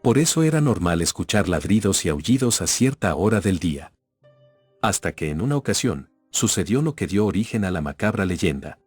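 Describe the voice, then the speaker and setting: A man narrating scripted horror stories in a measured, ominous storytelling manner. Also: deep voice, sing-song, a little mellow, breathy edge, no room sound. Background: background music.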